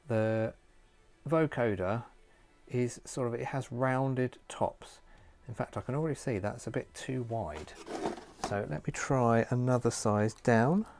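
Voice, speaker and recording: A man with a fairly low voice hosts a hobby tutorial. He talks casually and without a script, explaining each step and thinking aloud as he works. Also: a little mellow. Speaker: host of a hobby craft tutorial. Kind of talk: casual, unscripted how-to commentary while painting. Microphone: phone recording.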